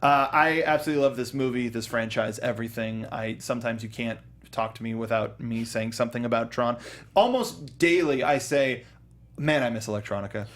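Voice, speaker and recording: A man's voice: mid-range voice, a little fast. A man co-hosting a casual movie review show, talking enthusiastically and rambling with fillers. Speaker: podcast co-host.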